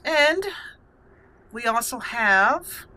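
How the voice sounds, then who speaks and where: A woman's voice: fairly high pitch, animated, very slow, pushed and bright. A woman hosting a roleplay let's play of a fantasy game, thinking aloud as she muses over her choices. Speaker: gaming channel host and player.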